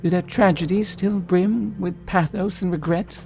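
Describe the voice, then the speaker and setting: An actress playing a wistful, eloquent wanderer in a fantasy video game, speaking in a formal, musing way. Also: female voice, lowish voice, steady tone, unhurried, gravelly, music in the background. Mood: intrigued, musing. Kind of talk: video game character acting.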